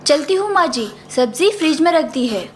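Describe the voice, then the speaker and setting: A woman acting a sly, high-pitched greedy housemaid. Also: expressive, normal speed. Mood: obedient. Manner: theatrical, deferential to employers, gloating when alone. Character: sly greedy housemaid, scheming and falsely obedient. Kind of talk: Hindi cartoon story voice acting.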